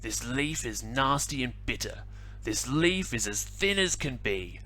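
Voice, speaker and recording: A man voicing a greedy, bullying highway robber rat in a picture-book reading, shouting his demands. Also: expressive, a little slow. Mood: bellowing greedy. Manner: loud, blustering demands. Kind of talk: picture-book read-aloud.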